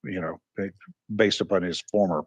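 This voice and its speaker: A man's voice: sits low, steady tone, moderate pace, slightly rough, dry recording. A man hosting a local radio talk show. He rambles folksily with frequent restarts and sounds congested. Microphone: studio microphone.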